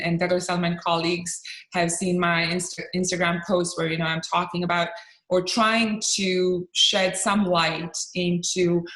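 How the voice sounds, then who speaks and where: A woman from Bosnia and Croatia, a real estate agent speaking as a guest in an interview, talking in an earnest, measured way and pausing to choose her words. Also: fairly low pitch, fairly bright tone. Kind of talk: interview conversation. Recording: roomy echo.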